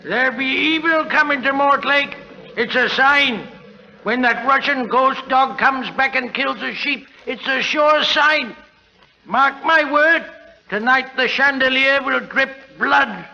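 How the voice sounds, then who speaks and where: An actor playing a superstitious old rustic coachman with a high creaky voice and slow, doom-laden speech in a 1940s radio drama. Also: pushed and bright, exaggerated voice. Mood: ominous, foreboding. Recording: muffled 1940s radio recording.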